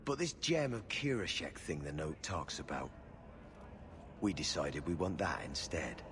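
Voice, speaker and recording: A man's voice: very deep voice, lively intonation, measured pace, fairly bright tone, a little hiss or hum, full character voice. An actor playing a cocky, impatient male thug in a fantasy game, slangy and threatening. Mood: menacing. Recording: long reverb, clear background ambience.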